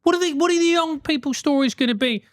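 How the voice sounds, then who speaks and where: A man's voice: extremely high pitch, fast, no room sound, studio quality. A man with a South London accent, a host giving advice in a casual, emphatic rant, swearing freely.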